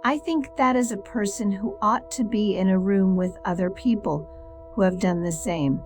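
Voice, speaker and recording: A woman playing an earnest, plain-spoken character in an audio drama, speaking in short deliberate phrases. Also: medium pitch, soft background music, close to an everyday voice. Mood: earnest, encouraging.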